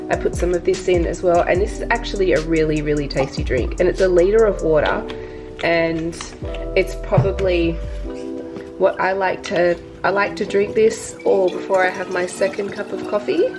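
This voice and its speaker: A woman, a little croaky from illness, narrating her daily routine in a soft, unhurried, reflective way. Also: fairly low pitch, fairly even intonation. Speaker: lifestyle vlogger. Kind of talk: casual voice-over narration. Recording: voice-over with loud background music.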